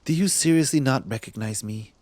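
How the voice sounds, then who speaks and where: A man playing a smug cop secretly smitten with a thief in an intimate ASMR roleplay, teasing and halting, sometimes dropping to a breathy murmur. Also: mid-range voice, darkish tone, dry recording, lightly acted. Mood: smug, taunting. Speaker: voice actor in audio roleplay. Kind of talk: ASMR roleplay acting, addressed to the listener.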